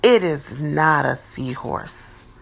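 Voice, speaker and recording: A woman reading a children's picture book aloud in a deliberate, expressive teacher's style. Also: low voice, vocal fry. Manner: deliberate, animated, dramatizing for young children.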